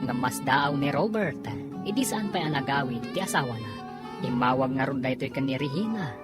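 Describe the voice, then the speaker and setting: A woman narrator announcing and telling a radio drama in a measured, drawn-out, theatrical scripted style. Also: extremely low pitch, expressive. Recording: prominent music bed under the voice. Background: music.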